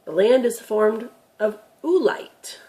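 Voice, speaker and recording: Lady doing a storybook granddad, patient teacher type, takes it slow, punches the words. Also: a little high, lively intonation, dark tone, low-quality recording. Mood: patient, explanatory. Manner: emphatic, patient, instructive. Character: patient, knowledgeable grandfather explaining nature to grandson. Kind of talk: children's storybook dramatic reading.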